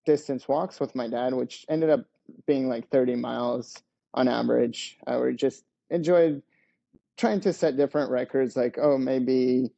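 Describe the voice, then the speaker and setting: A man's voice: medium pitch, measured pace, creaky, dark tone. A young man from Lithuania, a podcast guest telling his story in a hesitant way with many fillers, on a headset over a video call. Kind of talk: casual interview storytelling. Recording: dull lo-fi video call audio.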